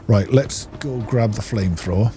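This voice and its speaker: A man's voice: on the low side, normal speed, dark tone, slight background noise. A man doing casual gameplay commentary, muttering and thinking aloud to himself. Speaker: gaming video host.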